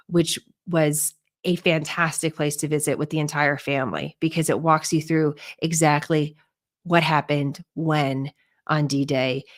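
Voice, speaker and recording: Woman reporter doing voice-over, a bit low, earnest, hunts for words. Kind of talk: reflective voice-over narration.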